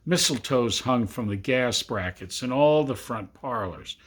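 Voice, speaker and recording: A man reading a literary Christmas story aloud to family, with expressive, deliberate emphasis. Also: medium pitch, slightly rough. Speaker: amateur storybook reader.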